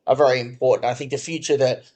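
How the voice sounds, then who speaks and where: A man, a company co-founder and chief executive, answering interview questions in a measured, thoughtful way full of "I think.". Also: medium pitch, fairly even intonation, a little mellow. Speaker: company co-founder and CEO, podcast guest.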